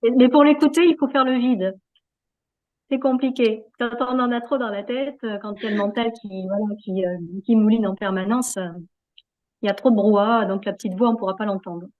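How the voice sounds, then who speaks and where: A woman's voice: fairly high pitch, steady tone, a little fast, phone-quality sound. A woman being interviewed in a reflective, conversational way, hesitating often as she searches for her words. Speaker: interview guest, yoga practitioner.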